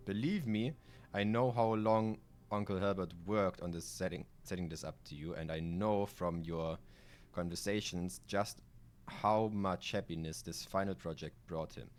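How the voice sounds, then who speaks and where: Man, German accent, native German speaker, low-pitched voice, live game streamer, casual rambling delivery, occasional swearing. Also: steady tone, unhurried, a little mellow. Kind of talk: live gameplay commentary, reading game text aloud.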